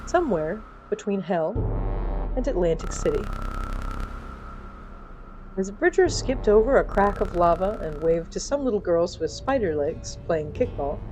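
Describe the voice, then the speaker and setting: A woman's voice: medium pitch, mellow. A woman narrating a scripted comic fantasy story in a theatrical, wry way, with asides to her audience. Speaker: storyteller of a fiction podcast.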